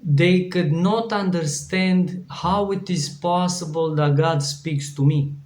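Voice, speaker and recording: A man's voice: fairly high pitch, takes it slowly, darkish tone. A man from Romania with a Romanian accent, preaching an earnest devotional sermon, with frequent restarts. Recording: echoey room.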